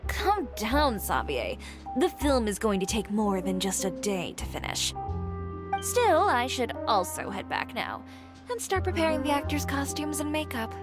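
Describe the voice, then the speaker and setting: Game role: a wry, practical costume artist, a bit high, over clear music. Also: female voice, sing-song, a little slow. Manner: dry and teasing. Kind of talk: game voice acting.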